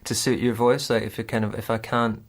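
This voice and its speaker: A man's voice: mid-range voice, flat delivery, fairly quick. Musing podcast host, a man. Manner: thinks aloud, restarts sentences while searching for words. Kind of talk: conversational podcast interview.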